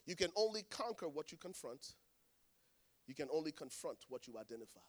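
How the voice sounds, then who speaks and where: Man, pastor, sermon, higher voice, hushed to shouting, church congregation. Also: big pitch swings, fairly quick. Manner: dynamic preaching, swinging from hushed to shouting.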